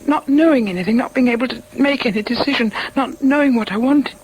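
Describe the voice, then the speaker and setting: A woman's voice: sits high, quick pace, a little mellow, slight background noise, natural voice, low-quality recording. A woman playing an anguished middle-aged mother in a British radio drama, hesitant and agitated, thinking aloud as doubts crowd in. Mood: shaken, anguished. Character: anguished, self-doubting mother clinging to her religious certainty. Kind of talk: British radio drama acting with inner monologue.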